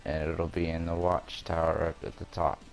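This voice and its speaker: A man's voice: low-pitched, flat delivery, normal speed, vocal fry, faint music behind. A man giving a casual video game walkthrough, explaining things step by step in an informal way. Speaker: gamer presenting a walkthrough.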